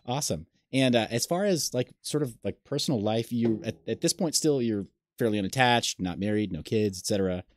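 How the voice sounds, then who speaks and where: A man's voice: mid-range voice, lively intonation, brisk, few pauses, no echo, studio quality. A man in his thirties hosting an interview over a video call, asking questions hesitantly with many restarts. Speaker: host. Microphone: webcam on a video call.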